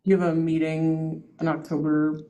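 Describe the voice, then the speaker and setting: A woman's voice: sits low, flat delivery, normal speed, warm tone, poor audio. A woman asking brief, encouraging questions at a city committee meeting.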